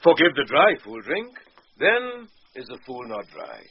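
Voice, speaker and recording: A man's voice: medium pitch, very animated, unhurried. A man playing an impudent, witty court fool in a Shakespearean radio drama, teasing with playful riddles and mock logic. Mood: mock-serious teasing. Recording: dull 1990s radio recording, faint music.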